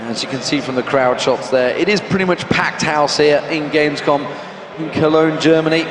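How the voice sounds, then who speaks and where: Man, esports caster, brisk excitable delivery, echoey arena, noisy background. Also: on the high side. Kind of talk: esports play-by-play commentary. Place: packed convention hall. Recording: echoey arena with crowd noise.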